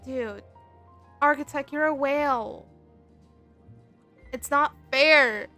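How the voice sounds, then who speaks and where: A woman with a moderately high voice gives casual livestream commentary. She chats informally as a game streamer, with faint music in the background. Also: takes it slowly, sharp tone.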